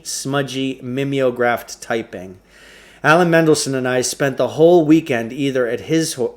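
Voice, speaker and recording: A man reading a children's novel aloud as a storybook narrator. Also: medium pitch.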